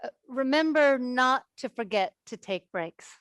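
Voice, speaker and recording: Stage play reading: anxious high-voiced woman, played by an actress, dry recording. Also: expressive, a little slow, fairly bright tone, no room sound. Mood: wry, anxious.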